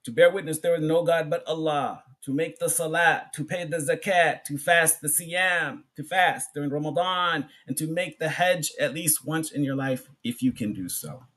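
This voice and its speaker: A man giving an Islamic sermon as a public address, speaking emphatically in short phrases with pauses, repetition and rhetorical questions. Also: a little high, a bit bright. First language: English. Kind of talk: sermon, public religious lecture.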